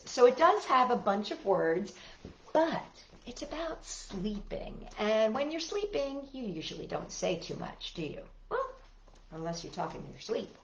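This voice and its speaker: A woman hosting a children's storytime, gently chatting and reading aloud to young viewers with encouraging little questions. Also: mid-range voice, a little hiss or hum. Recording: roomy echo, slightly dull sound, guitar music.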